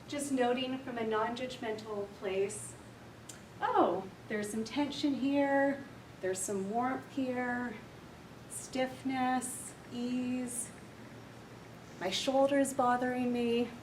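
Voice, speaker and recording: A woman counsellor with a quiet voice leading a slow, gentle guided meditation for a workshop audience. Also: fairly high pitch, steady tone, slight background noise. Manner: soft, slow, gentle and unhurried. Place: large echoey room with an audience. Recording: echoey room recorded from far off.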